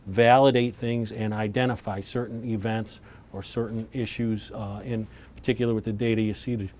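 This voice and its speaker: A man leading an informal technical briefing, explaining things to visitors in plain, measured terms. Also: flat delivery, a little mellow. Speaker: lab lead presenting to visitors. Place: laboratory tour, indoors. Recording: noisy room with low rumble.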